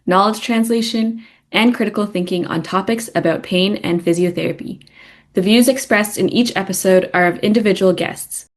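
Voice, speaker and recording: A young woman co-hosts a podcast. She delivers prepared, scripted narration in a medium-pitched voice. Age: young adult. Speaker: student podcast co-host.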